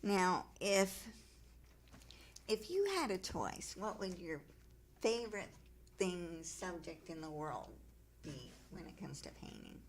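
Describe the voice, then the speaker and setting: A woman's voice: fairly low pitch, animated, slow pace, pauses often. A woman hosting a radio talk show, upbeat and polished as she interviews a guest.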